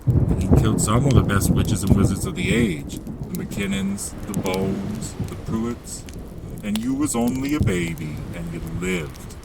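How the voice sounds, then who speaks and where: A man playing a gruff, kindly, grieving and protective giant with folksy, rough grammar in an audiobook drama. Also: mid-range voice, wildly swooping pitch, measured pace, very noisy, pitched above the performer's own voice, heavily acted. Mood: sorrowful.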